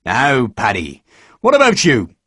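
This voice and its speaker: A man's voice: medium pitch, huge pitch swings, measured pace, bright, forceful tone, no room sound, studio quality, full character voice. A man voicing a genial army recruiting sergeant with a hearty, coaxing way of talking.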